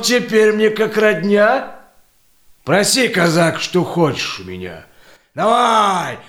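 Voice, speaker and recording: An actor playing a generous, grateful trading agent, speaking measured, declamatory verse in an audio play. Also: male voice, high voice, fairly bright tone, exaggerated voice. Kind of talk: rhymed audio play acting.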